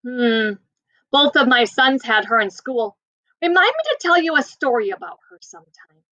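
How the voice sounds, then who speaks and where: A kindly old man who lives next door, speaking gently and sympathetically, as a woman reads his lines aloud. The voice sits high and moves around a lot. The sound is dry and a bit dull. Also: very animated, normal speed, no room sound, full character voice. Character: kindly older man, a neighbor.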